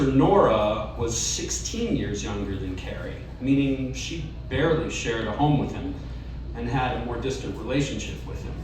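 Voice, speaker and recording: A man reads a personal story aloud at a live event, earnest and now and then dropping to a hush. His voice sits in the middle range. The room is very echoey and he sounds a good way off the mic. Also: mid-range voice, distant mic, noisy background. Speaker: story reader. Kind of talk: live reading of a personal essay. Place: live reading event in a bar.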